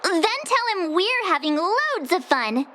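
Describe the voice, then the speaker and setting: A woman's voice: extremely high pitch, sing-song, a little slow, noisy background, full character voice. A woman playing a bubbly, carefree girl in a video game, perky and playful in manner. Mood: cheerful, playful.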